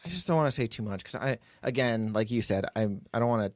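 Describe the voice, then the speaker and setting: A man's voice: mid-range voice, lively intonation, fast, no echo, clean studio sound. A man hosting a podcast in casual, unscripted chat, talking informally in a rambling way and often restarting his own sentences. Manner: rambling, informal, self-interrupting. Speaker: podcast host, writer and producer.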